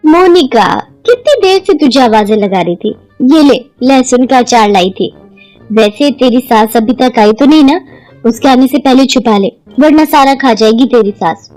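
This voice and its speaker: A woman's voice: high-pitched, expressive, moderate pace, darkish tone, low-quality recording. A woman plays a gossipy neighbour from a cartoon household tale. She is chummy, chatty and conspiratorial, with music playing behind her words.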